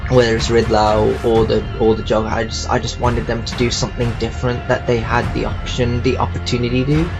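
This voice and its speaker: A man giving casual, rambling game commentary like an audio log, halting and often circling back to repeat his phrases. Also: mid-range voice, flat delivery, gravelly, music in the background, poor audio. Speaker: YouTuber reviewing games.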